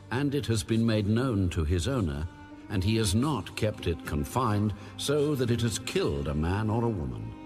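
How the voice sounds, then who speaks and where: A man reads scripture in a low voice that rises and falls expressively. His delivery is formal and solemn, with measured phrasing, and faint music sits behind him. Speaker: Bible audiobook narrator.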